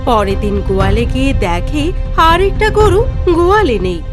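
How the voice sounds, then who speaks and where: High-pitched, lively woman storyteller. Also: normal speed. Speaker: narrator. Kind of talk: scripted cartoon story narration. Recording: music under the voice.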